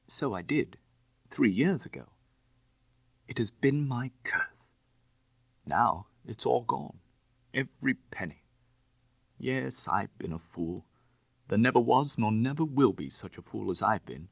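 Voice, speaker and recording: A man voicing a bitter, proud young gentleman who is ruined and desperate, speaking formally and emotionally in an audiobook story. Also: medium pitch, lively intonation, measured pace, vocal fry, pauses often, no room sound, pitched above the performer's own voice. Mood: despairing. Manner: emotional, self-reproaching, formal.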